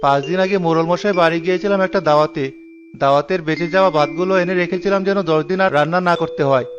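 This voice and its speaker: A man's voice: fairly high pitch, very fast, lo-fi sound, over music, lightly acted. A man voicing a stingy, irritable village man in a cartoon, grumbling and defensive. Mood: regretful. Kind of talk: cartoon character voice acting.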